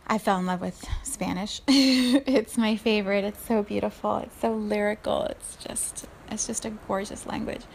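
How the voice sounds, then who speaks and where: Reflective woman in her forties, gravelly voice. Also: mid-range voice, fairly even intonation, a little hiss or hum. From South Africa. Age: 40s. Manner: unhurried, thoughtful, laughs softly at herself. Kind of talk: reflective solo talk.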